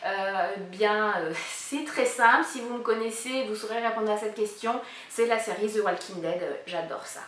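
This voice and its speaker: A woman's voice: medium pitch, moderate pace, hardly any pauses, fairly bright tone, slight background noise. A woman in her thirties hosting a casual vlog, talking chattily with many fillers as she answers a tag's questions. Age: 30s. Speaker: YouTuber presenting a collab channel. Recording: echoey room, mic a bit far.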